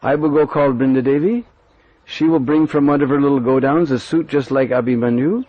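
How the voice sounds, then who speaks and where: A man's voice: medium pitch, steady tone, a little fast, no echo, close to an everyday voice. A man voicing a confident, playful young cowherd prince making a mischievous plan. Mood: reassuring. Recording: dull dry recording.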